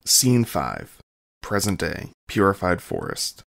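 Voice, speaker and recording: Low, creaky male narrator. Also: wildly swooping pitch, slow, a bit bright. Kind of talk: scripted scene-setting narration.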